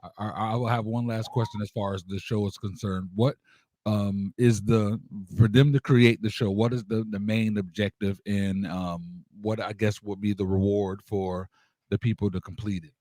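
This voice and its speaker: A man hosting a casual interview, asking questions haltingly and rephrasing them as he goes. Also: on the low side, steady tone, a little mellow, no room sound. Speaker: interview host.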